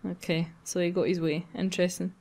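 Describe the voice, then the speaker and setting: A woman's voice: lowish voice, flat delivery, brisk, gravelly, darkish tone. A woman hosting a gaming video, reading a visual novel aloud and breaking into casual banter.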